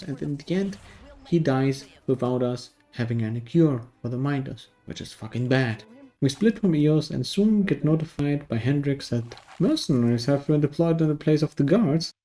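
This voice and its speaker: A man's voice: a little high, warm tone. A man narrating a scripted video game review in a dry, sardonic manner.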